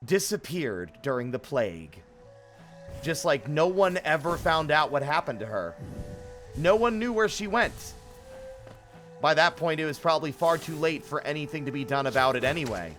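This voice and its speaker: A man's voice: fairly high pitch, big pitch swings, normal speed, fairly bright tone, faint music behind. A man giving casual live game commentary as a streamer, musing and sometimes sweary.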